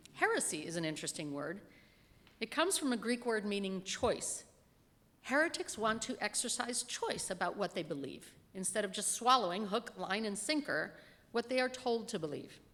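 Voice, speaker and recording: A woman's voice: medium pitch, a bit bright. A middle-aged woman reads a written sermon aloud from a lectern, speaking into a pulpit microphone in a church hall. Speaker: lay reader delivering a minister's sermon. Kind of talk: sermon read from a script at a lectern. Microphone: gooseneck lectern microphone. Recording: echoey hall with long reverb.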